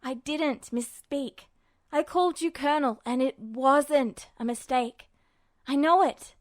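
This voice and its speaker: Audiobook role: brash, agitated young man voiced by a woman, high-pitched, emphatic, close dry sound. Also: unhurried, no room sound. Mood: bewildered insistence. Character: hot-tempered, defensive short young man, brash and foul-mouthed. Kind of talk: audiobook character voice.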